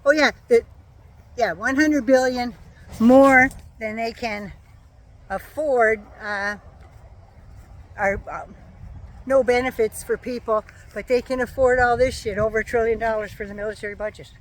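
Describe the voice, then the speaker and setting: A woman giving a sarcastic, profane political rant as a vlogger, her voice going hoarse. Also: fairly high pitch, steady tone, measured pace, long pauses, poor audio. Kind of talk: casual ranting monologue.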